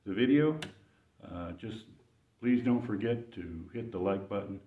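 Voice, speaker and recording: An older man giving an informal devotional talk to viewers in a folksy, halting, earnest way. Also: on the low side, lively intonation. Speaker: gospel singer and channel host. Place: church sanctuary, indoors. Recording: roomy, distant, dull sound.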